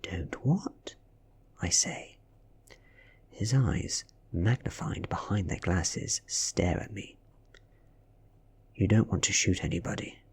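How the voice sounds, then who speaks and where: A man with a British accent reading a story aloud in a soft, hushed ASMR style, breathy and often dropping into a whisper. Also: deep voice. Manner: hushed, unhurried, dramatic storytelling. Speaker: audiobook-style reader.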